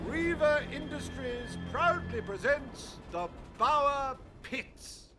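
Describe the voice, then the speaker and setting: A smug industrialist from a video game, pompous and greedy. His high voice swoops theatrically as he draws out his words at an unhurried, self-satisfied pace. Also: lively intonation, music in the background, heavily acted. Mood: grandiose showmanship. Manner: pompous, drawling, theatrical oratory.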